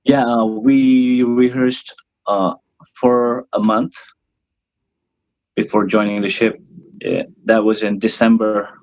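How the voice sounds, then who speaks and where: A man's voice: lowish voice, flat delivery, takes it slowly, pauses often, no room sound, highs cut off. A young man from northern India whose first language is Nepali, a cruise ship band singer talking hesitantly with many fillers in a casual interview, his voice tired and worn.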